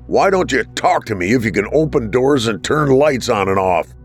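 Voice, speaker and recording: A man voicing a worn-out, desperate handyman who pleads and explains, pitched well above his own voice. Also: animated, moderate pace, soft background music, lightly acted. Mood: frustrated. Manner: weary, pleading.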